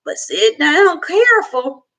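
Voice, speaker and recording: Picture a gentle old woman with no teeth, drawling slowly in a raised, bright tone, swooping up and down as she talks, as a woman reading aloud puts her on. Her speech is unhurried and homespun, like a storybook grandmother in her garden. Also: big pitch swings, exaggerated voice. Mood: folksy kind. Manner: slow drawl, high-pitched. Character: old toothless kind woman, gentle and folksy.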